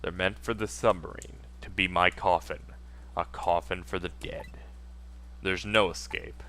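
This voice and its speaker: Guy doing a gloomy ghost villain from a game, lowish tense voice, bitter and dramatic. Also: fairly even intonation, a little slow, bright, forceful tone, slight background noise, exaggerated voice. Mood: resigned. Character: bitter, resigned male ghost schemer confessing his plot. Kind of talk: game dialogue read-aloud in a let's play. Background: game music.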